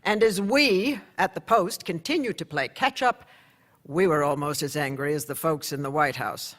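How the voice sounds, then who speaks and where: Male narrator, first-person newsroom story, measured and conversational, fairly high voice. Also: fairly bright tone. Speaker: narrator, newspaper insider.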